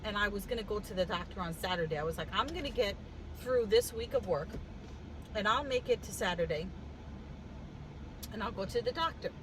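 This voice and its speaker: A woman with a cough telling a story in a casual vlog, animated and wry, laughing as she goes, sitting in a car. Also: mid-range voice, fairly quick, pauses often, background noise. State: congested with a cough. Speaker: vlogger. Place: in a car with the air conditioning on.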